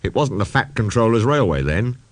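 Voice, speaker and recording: A man's voice: fairly low pitch, big pitch swings, fairly quick, no echo. A man voicing a proud, indignant old male steam engine who tells grumbling, emphatic stories in a children's audiobook. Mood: reminiscing.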